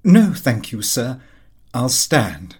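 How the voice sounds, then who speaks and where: A man's voice: mid-range voice, big pitch swings, slow, husky, heavily acted. Man playing an earnest, fussy middle-aged clerk. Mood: nervous, deferential. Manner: fussy, polite, eager. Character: earnest, deferential middle-aged male clerk, pleased with himself.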